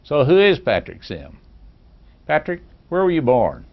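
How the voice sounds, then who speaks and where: A man's voice: a little high, wildly swooping pitch, unhurried, muffled recording. An older man interviewing his former employee, reading a prepared introduction and then asking questions with many fillers. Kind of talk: oral history interview.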